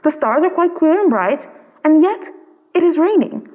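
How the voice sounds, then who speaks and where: Woman acting small male swallow, storybook bird, self-important, fussy, exclamatory, high voice with big swoops, long hall reverb. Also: lively intonation, moderate pace, mellow. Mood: put out.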